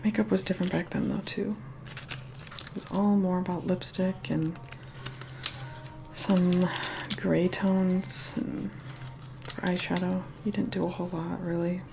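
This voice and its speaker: A woman playing an aloof, vain young vampire in a soft whispered ASMR roleplay, unhurried, dry and mildly condescending. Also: level tone, background noise. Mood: wistful, matter-of-fact. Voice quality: soft, breathy whispering mixed with low murmur. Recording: music playing behind close whispered voice.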